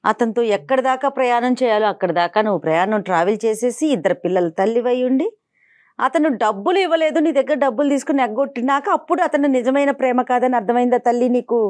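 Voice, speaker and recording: Female counselling-show host, direct and quick, slightly high voice, dry sound. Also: darkish tone, no room sound. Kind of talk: counselling show presenting and questioning.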